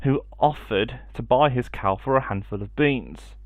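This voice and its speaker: A man's voice: medium pitch, a little slow, no echo. Man reading a children's story.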